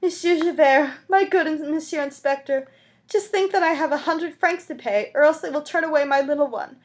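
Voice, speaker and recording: Woman acting a high-pitched, desperate begging mother. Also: moderate pace, natural voice. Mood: tearful pleading. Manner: pleading, sobbing, agitated. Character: desperate destitute mother begging tearfully for mercy.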